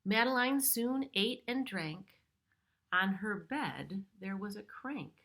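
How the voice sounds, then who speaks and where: Slow, bright-voiced woman reading a picture book. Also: medium pitch, lively intonation. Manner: simple explanations for young children. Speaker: preschool storyteller reading aloud. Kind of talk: picture-book read-aloud with explanatory asides.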